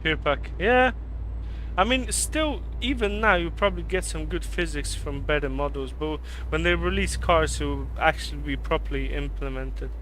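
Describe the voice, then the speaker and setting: A chatty male streamer who grew up in Canada, his voice sitting a little high for a man. He talks loosely while driving, answers viewers by name and keeps calling them mate, with a faint hiss of noise behind him. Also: normal speed, a bit bright, rough recording. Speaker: game streamer. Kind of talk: casual live-stream chat while driving in a game.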